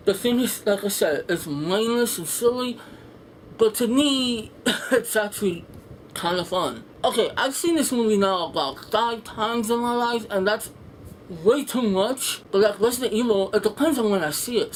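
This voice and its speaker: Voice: medium pitch, expressive, moderate pace. A casual video reviewer with a mid-range voice rambles through strong opinions. The delivery is blunt, sarcastic and sweary. Faint room noise and ambience sit behind the voice. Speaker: film reviewer, video host. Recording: noisy home room with background ambience.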